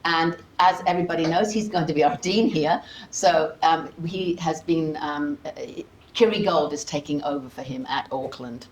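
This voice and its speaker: A low-pitched woman gives informal opening remarks as a symposium host. Her delivery is conversational, with casual asides. The room adds clear echo and there is faint noise behind her. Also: fairly even intonation, moderate pace. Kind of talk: informal welcome speech to an audience. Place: seminar room with audience. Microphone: worn microphone. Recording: roomy echo, audible background noise.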